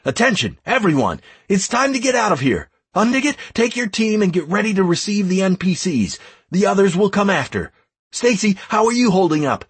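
A commanding young battle leader , voiced by a male narrator, pitched up and urgent, barks orders with a rough edge to the voice. The delivery swings forcefully, in a fantasy audiobook style. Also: huge pitch swings, brisk, few pauses, exaggerated voice.

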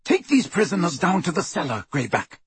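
A man's voice: high voice, sing-song, brisk, breathy edge, no room sound, voice pushed up, full character voice. A man voicing a haughty, indignant witch who commands as lady of the house in an audiobook.

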